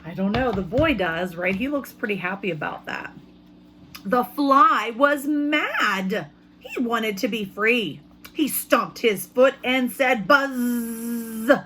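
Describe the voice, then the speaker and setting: A woman teacher giving an expressive read-aloud lesson for young children, pausing to ask them questions. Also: a little high, a little hiss or hum. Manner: expressive storybook reading. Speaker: elementary school teacher.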